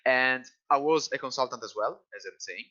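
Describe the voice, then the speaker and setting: Italian man, halting webinar speaker. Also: mid-range voice, bright, forceful tone. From Italy. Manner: halting, searching for words in a second language. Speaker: Salesforce instructor. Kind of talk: webinar presentation. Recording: muffled lo-fi webinar audio.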